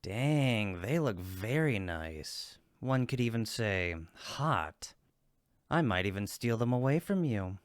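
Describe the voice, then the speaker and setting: A man's voice: fairly low pitch, lively intonation, slow, no echo, clean studio sound. A man playing a friendly cupid roommate in a comfort roleplay, teasing and supportive, with an emphatic, reassuring manner. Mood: playful teasing. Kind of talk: roleplay audio comfort acting, one-sided conversation.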